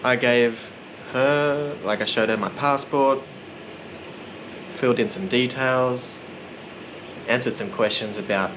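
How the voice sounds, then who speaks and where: A man narrating a casual vlog in a relaxed, rambling, self-deprecating way. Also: mid-range voice, slow, long pauses, background noise. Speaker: vlogger.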